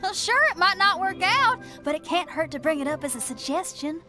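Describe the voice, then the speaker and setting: An actress playing a bold, upbeat young female rock musician in a game, talking in an energetic, breezy and persuasive way. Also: very high voice, a little fast. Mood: encouraging. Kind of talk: game dialogue. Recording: game audio with faint music behind.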